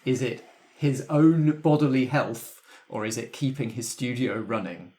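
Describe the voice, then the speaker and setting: A man's voice: medium pitch, a little slow, darkish tone. A man speaking conversationally as game master, explaining the rules of a tabletop game to his players.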